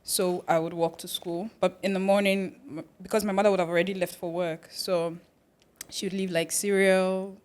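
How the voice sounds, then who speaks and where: A woman from the Netherlands, a podcast guest telling about her life in a relaxed, measured way. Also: lowish voice. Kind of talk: casual interview chat.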